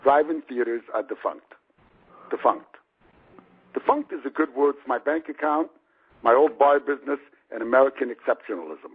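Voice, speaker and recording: Husky man in his fifties narrating. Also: mid-range voice. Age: 50s. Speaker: novelist reading own book. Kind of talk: audiobook narration of a personal prologue. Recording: muffled, lo-fi radio-like sound.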